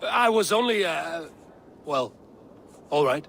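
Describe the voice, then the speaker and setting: Casting: composed Viking warrior, slightly high male voice, dry wit, game drama. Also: animated, slow, gravelly, noisy background, exaggerated voice. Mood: hesitant. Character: calm, wry Viking warrior, loyal and obliging. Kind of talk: video game acting.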